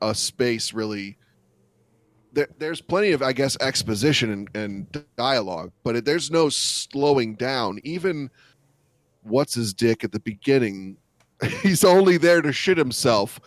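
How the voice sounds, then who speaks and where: A man chatting casually as a podcast host and sketch writer, rambling and enthusiastic, swearing freely. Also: medium pitch.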